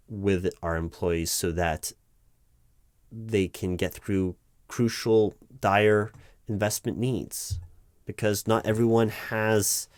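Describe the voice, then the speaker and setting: A low-pitched, dry-sounding recruiting manager, acted out by a man, flustered and exasperated. He halts, stammers and repeats himself as he objects, in a spoken-aloud office roleplay. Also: darkish tone, no room sound. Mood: earnest, halting. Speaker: roleplay performer. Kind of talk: improvised one-sided roleplay, loud spoken ASMR.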